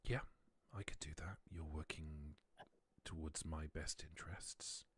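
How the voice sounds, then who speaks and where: A man voicing a wary, calculating vampire informant in a tabletop game, hushed and deliberate, with measured pauses. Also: extremely low pitch, fairly even intonation, breathy edge, full character voice. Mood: guarded, fearful.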